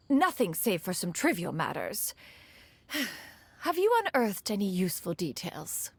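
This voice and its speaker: A woman's voice: mid-range voice, very animated, takes it slowly, husky, heavily acted. A woman voicing a proud, formal immortal crane spirit in human form for a video game, stately and archaic in speech. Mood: haughty. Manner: stately, archaic, says "one" for herself. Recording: music under the voice.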